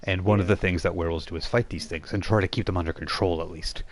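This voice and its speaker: A man with a low-pitched voice acts as game master for a tabletop game. He narrates casually and off the cuff, often halting to rephrase and explain details. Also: fairly even intonation, a little hiss or hum. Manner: halting, explanatory, self-correcting.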